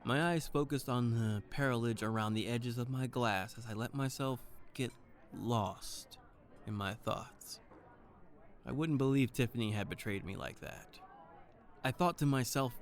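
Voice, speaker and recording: A man's voice: medium pitch, expressive, normal speed, natural voice. A man acting an earnest private detective, narrating in brooding noir style for an audio drama. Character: earnest, wounded, soft-hearted male private detective.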